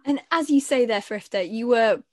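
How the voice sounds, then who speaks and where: A woman's voice: on the high side, expressive, moderate pace, dry recording. Woman host in a dry studio. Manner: clear, scripted reading of the intro, then plain questions. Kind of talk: podcast interview hosting.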